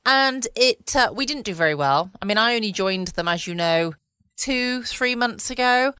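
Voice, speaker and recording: A woman's voice: fairly high pitch, lively intonation, moderate pace, sharp tone, smooth, dry recording. An adult woman with a southern English accent, chatting casually and playfully as host of a bedtime podcast recorded at home. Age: adult past her twenties. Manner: chatty, playful, self-mocking. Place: quiet room at home.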